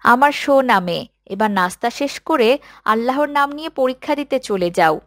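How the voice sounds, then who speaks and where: A devout, caring mother from a moral cartoon, acted by a woman. Her voice sits fairly high and she talks to her child with affectionate expression, in a close, dry recording. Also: expressive, a little fast, fairly bright tone, no room sound. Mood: proud, blessing. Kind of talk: scripted cartoon dialogue.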